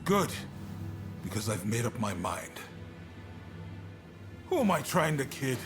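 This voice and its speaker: An actor playing a resolute, burdened samurai commander in a video game cutscene, speaking in a measured, plain-spoken way with weary resolve. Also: male voice, mid-range voice, very animated. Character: frank, resolute samurai military commander, burdened but calm. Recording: music behind the voice.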